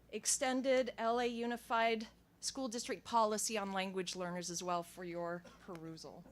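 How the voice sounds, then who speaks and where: A woman with a fairly high, bright and forceful voice reads prepared remarks with firm insistence. She speaks into a podium microphone at a school board meeting. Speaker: public commenter. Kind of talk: read prepared statement.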